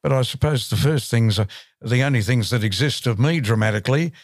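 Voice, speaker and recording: An older man in casual chat, speaking in a measured, reminiscing way with hesitant fillers. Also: mid-range voice, dry recording, studio quality. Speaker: co-host.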